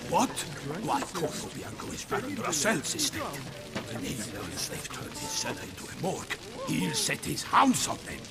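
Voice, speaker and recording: A haughty, theatrical knight in a fantasy game. His fairly high voice leaps about with animated, pompous exclamations, over loud music. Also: normal speed, heavily acted. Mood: indignant. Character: excitable, haughty male knight, theatrical and indignant. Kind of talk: video game voice acting.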